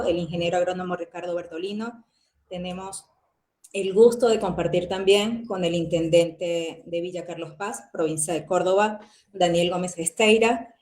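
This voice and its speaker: A woman's voice: lowish voice, a little mellow. Venezuelan woman hosting, echoey room. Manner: measured, with frequent "eh" fillers. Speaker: host of a volunteer training webinar. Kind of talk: formal welcome and introductions.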